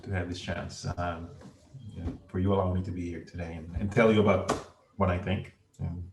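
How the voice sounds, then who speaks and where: Man, low voice, halting and full of fillers, far from mic, roomy echo. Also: level tone, noisy background. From Venezuela. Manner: hesitant, many restarts and fillers. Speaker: ophthalmologist guest. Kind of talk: casual interview talk. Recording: echoey room, distant from mic.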